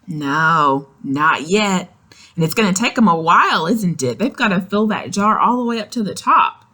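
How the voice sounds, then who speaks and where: A woman's voice: lowish voice, lively intonation, normal speed, sharp tone. A woman reading a picture book aloud to young children, expressively, with simple questions and explanatory asides. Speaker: read-aloud storyteller.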